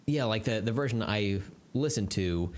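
A man in his thirties co-hosting a podcast in casual chat, talking in a relaxed, halting way. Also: lowish voice. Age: 30s. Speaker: podcast co-host.

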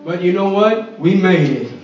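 A man from Brazil, a Portuguese native speaker, preaching fervently in English and interpreting a testimony, at times shouting praise. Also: high-pitched, animated, moderate pace, soft background music. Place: church sanctuary. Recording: echoey church heard from far off, dull.

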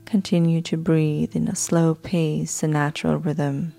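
Female sleep-hypnosis guide: soft, soothing delivery, unhurried pace, noticeable vocal fry, music underneath. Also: low voice, level tone. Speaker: podcast host guiding sleep hypnosis. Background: background music.

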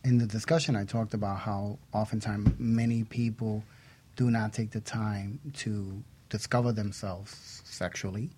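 A man's voice: on the low side, level tone, a little slow, mellow, a little hiss or hum. A man hosting a talk show, offering advice in a conversational, explanatory way. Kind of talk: measured advice-giving conversation.

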